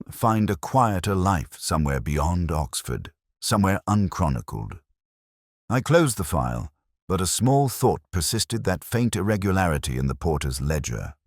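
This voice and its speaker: A man playing a melancholy, world-weary English police inspector, narrating his own story in a measured, literary, reflective way. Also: low-pitched, dry recording, clean studio sound, full character voice. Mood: reflective, resigned. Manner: measured, brooding, literary delivery. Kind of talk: scripted first-person narration, literary detective drama.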